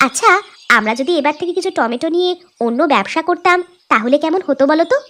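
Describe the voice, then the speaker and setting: A woman's voice: high voice, animated, fast talker, fairly bright tone. A woman voicing a practical, chatty farmer's wife in a cartoon folk tale. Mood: inquisitive, homely. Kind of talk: Bengali cartoon fairy-tale dialogue.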